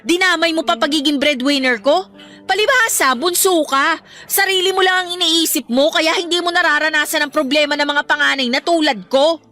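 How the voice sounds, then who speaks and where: An actress playing a curt, exasperated young breadwinner eldest daughter with a Filipino accent in a radio drama. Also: female voice, high-pitched, brisk, pushed and bright, faint music behind. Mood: resentful. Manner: curt, scolding. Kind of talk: Tagalog radio drama acting.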